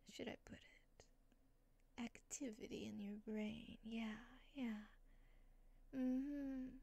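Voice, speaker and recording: A woman speaking in soft, breathy whispers in a soothing ASMR roleplay as a comforting motherly caretaker. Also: on the high side. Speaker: ASMR creator.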